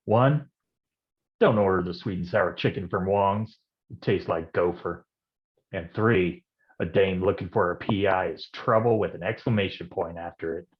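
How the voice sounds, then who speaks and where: An actor playing a world-weary, hard-drinking private detective with slangy, hardboiled delivery in a film noir staged reading. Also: male voice, sits low, moderate pace, lo-fi sound, lightly acted. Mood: cynical, brooding.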